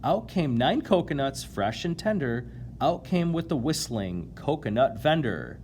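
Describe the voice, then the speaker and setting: A man reads a picture book to children with very lively, changing intonation. He takes his time, stretching key words playfully and turning to chat with his listeners. There is faint noise behind his voice. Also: mid-range voice, unhurried. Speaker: storyteller reading a children's picture book aloud. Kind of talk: animated read-aloud to children, with asides to listeners.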